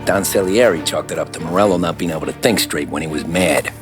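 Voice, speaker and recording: An actor playing a streetwise, easygoing American mobster with slangy, matter-of-fact talk in a video game. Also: male voice, low voice, fast, over music, close to an everyday voice. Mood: matter-of-fact, reminiscing. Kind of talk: cinematic game acting.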